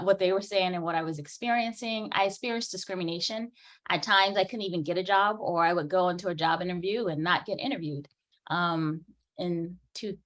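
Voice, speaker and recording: A woman in her forties, a dental hygienist, giving an earnest, animated conference talk and laughing often. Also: medium pitch.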